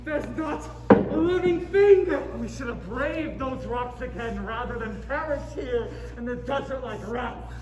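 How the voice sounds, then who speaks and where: A man's voice: very high-pitched, unhurried, very noisy. A young man on stage playing an ambitious, despairing hero who leads sailors, in a theatrical style. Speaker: student stage actor. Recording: roomy stage, loud music and audience.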